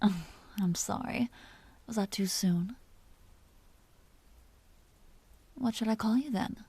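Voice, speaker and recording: A woman playing an aristocratic vampire duchess in a roleplay audio drama, commanding and teasing yet secretly tender, often hushed and confiding. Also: medium pitch, measured pace, husky, no room sound. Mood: gently teasing.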